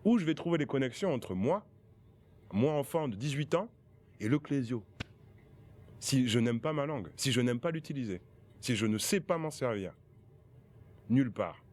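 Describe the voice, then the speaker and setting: A man's voice: mid-range voice, animated, moderate pace, pauses often, darkish tone. Passionate man talking in an interview. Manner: passionate, emphatic.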